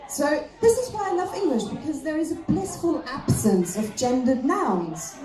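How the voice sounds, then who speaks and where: An echoey live recording of a woman comedian on stage. She from her German and a fairly high, soft-edged voice. Her delivery is conversational and self-deprecating, with halting pauses. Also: big pitch swings, faint music behind. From Austria. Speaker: stand-up comedian. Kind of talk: live stand-up comedy routine.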